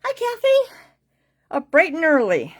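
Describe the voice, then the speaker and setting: A woman's voice: sits high, wildly swooping pitch, measured pace. Chatty woman hosting a craft livestream. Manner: chatty, rambling, informal, repeating herself. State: losing her voice slightly. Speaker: host of a card-making craft class livestream. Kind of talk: casual live tutorial chat with sales pitch.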